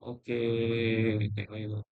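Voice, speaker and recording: A man teaching a coding tutorial while screen recording, talking in a halting, rambling way with non-native phrasing, recorded on a laptop's built-in mic. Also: lowish voice, flat delivery, vocal fry, narrow, tinny audio. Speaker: programming tutor. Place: at a computer, indoors.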